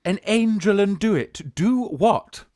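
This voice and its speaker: A woman's voice: medium pitch, lively intonation, slow, darkish tone, no room sound, studio quality. Woman as flustered British gent playing a dear aunt, swooping intonation. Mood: coy, flustered. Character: young gentleman posing in disguise as a rich dear aunt. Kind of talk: Victorian stage farce, radio play acting.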